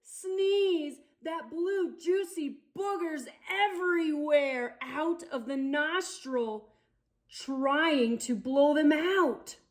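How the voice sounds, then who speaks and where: A woman storyteller reading aloud for children in an expressive, theatrical way. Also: high-pitched. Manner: theatrical, deliberate delivery with dramatic gasps and exclamations. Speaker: storyteller, channel host.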